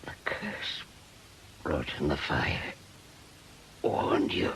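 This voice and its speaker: A man playing a weak, delirious, fearful injured man in a radio drama, whispering in halting, broken fragments. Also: rumbling low, huge pitch swings, fairly bright tone, heavily acted. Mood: delirious dread. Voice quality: breathy, croaking whisper. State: weak, barely conscious.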